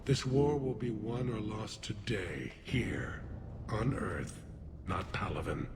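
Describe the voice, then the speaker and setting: A man's voice: lowish voice, noisy background. A man voicing a grave, proud alien military leader in a video game, speaking formally with a metallic doubling on his voice. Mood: solemn resolve. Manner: formal, solemn, measured oratory. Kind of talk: video game dramatic acting. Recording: long reverb, music behind.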